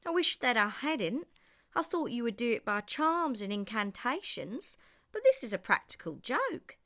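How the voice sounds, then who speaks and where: A woman's voice: a little high, very animated, measured pace, bright, forceful tone, voice pushed up, exaggerated voice. A woman voicing a tired, aggrieved old male kangaroo in a storybook, plaintive and emphatic. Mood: indignant. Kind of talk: storybook character voice.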